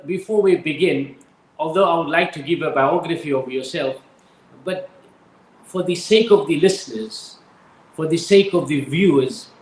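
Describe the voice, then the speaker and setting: A man hosting an online reading circle, speaking in a measured, oratorical way with religious greetings. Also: on the high side. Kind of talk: formal spoken introduction and interview. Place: indoors with a view of the harbor. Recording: roomy echo with faint background hiss.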